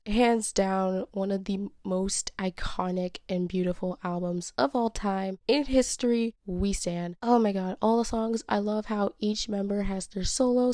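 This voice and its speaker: A woman's voice: mid-range voice, warm tone, no echo, clean studio sound. Congested, gushing woman vlogger. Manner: gushing, sassy, playful. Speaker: YouTuber. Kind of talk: casual music ranking vlog.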